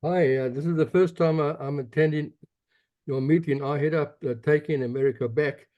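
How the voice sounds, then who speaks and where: A man from South Africa with a South African accent, speaking up as an attendee in an online meeting, earnest, with pauses and restarts. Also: fairly high pitch, steady tone, lo-fi sound. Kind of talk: comment during online meeting.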